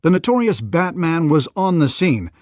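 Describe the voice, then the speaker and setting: An actor playing an urgent TV news reporter in a video game, crisp and formal. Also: male voice, a little high, big pitch swings, moderate pace, a little mellow, dry recording, studio quality. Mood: reporting.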